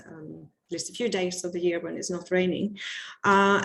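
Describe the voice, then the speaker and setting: A woman's voice: on the low side, rough recording. A middle-aged woman with a Swedish accent, presenting her research in a webinar over a video call, chatty and self-deprecating with frequent restarts. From Sweden. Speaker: guest speaker, researcher.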